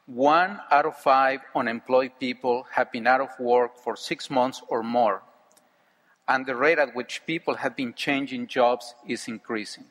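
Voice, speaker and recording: A middle-aged man from Mexico, speaking English with a Mexican Spanish accent, giving an earnest, measured personal testimony speech. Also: medium pitch, steady tone, a bit bright. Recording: hall with long reverb.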